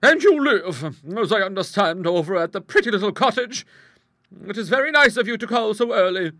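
A man voicing a brisk, outspoken middle-aged English aunt in a storybook, hearty, emphatic and used to commanding. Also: high voice, lively intonation, pushed and bright, no echo, studio quality, voice pushed up. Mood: hearty, blunt. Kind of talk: storybook character voice in an audiobook.

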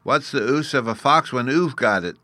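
A man's voice: mid-range voice, animated, moderate pace, bright, forceful tone, dry recording, studio quality, voice pushed up. A man voicing a tiny, earnest and proud boy fairy from a storybook, scornful at times, in childish baby talk with mispronounced words. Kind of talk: storybook character reading.

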